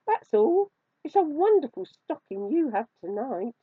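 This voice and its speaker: Woman voicing a squeaky, high little storybook mouse. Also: big pitch swings, unhurried, dry recording. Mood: pleading. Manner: pleading, exclaiming. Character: timid, cheeky, clever little male mouse. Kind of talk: children's storybook reading. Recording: tinny, phone-like narrow band.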